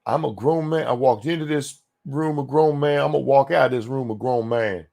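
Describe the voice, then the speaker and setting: A man hosting a casual live stream, ranting in a crude, sarcastic way with loud outbursts. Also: medium pitch, brisk, creaky. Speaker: stream host. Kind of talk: casual profane ranting chat.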